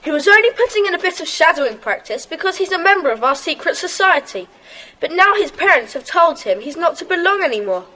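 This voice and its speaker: A woman's voice: very high voice, sing-song, brisk, pushed and bright, full character voice, rough recording. A woman playing a keen, outspoken schoolboy club member in a children's audio drama. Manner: eager, outspoken.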